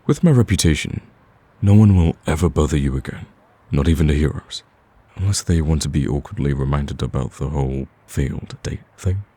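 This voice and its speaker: A man playing a smitten, cocky villain in a romantic ASMR roleplay, with a husky, very deep voice and a smooth-talking, teasing, pleading manner. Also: a little fast, mellow, no echo, exaggerated voice. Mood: cocky persuading. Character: smitten male villain, cocky, persistent, wooing.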